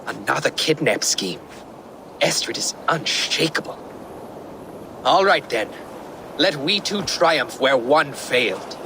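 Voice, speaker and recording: An actor playing a proud, loyal Viking jarl in a video game, speaking in a solemn, formal manner. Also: male voice, mid-range voice, lively intonation, slow pace, creaky, long pauses, noisy background. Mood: resolute.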